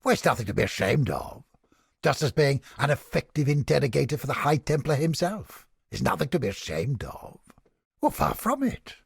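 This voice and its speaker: A man playing a haughty, creepy mind-reading interrogator in a video game, with a pompous, florid, theatrical delivery and a raspy, gravelly voice. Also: medium pitch, very animated, normal speed, no room sound, clean studio sound, exaggerated voice. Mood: smug lecturing. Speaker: game character. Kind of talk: video game character voice lines.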